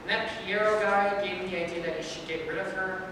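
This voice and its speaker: A woman's voice: on the low side, fast talker, natural voice. A woman playing a dim, lazy, boastful palace guard who rambles and gossips in a stage play reading. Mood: rambling. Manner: rambling, excitable, forgetful of names. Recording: very echoey hall from far off, noisy.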